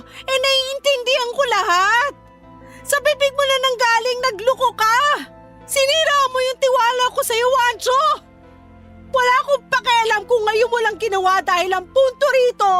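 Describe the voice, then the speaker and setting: A woman's voice: very high-pitched, a bit bright. An actress with a Filipino accent playing a betrayed, furious young woman in a radio drama, scolding with intense anguish. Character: betrayed, furious, heartbroken young woman leaving her cheating boyfriend.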